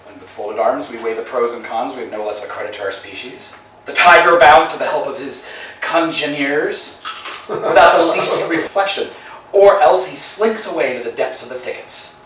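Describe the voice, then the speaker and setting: A man's voice: medium pitch, moderate pace, a bit bright, a little hiss or hum, close to an everyday voice. An actor playing a restless, philosophizing male tramp who talks in wordy, musing speeches that swing between elation and gloom, in a staged play reading. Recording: roomy echo, voice far from mic.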